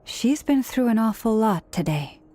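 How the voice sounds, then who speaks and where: An actress playing a considerate, composed young woman in a video game, speaking gently and politely. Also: fairly high pitch, lively intonation, moderate pace. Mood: considerate, calm.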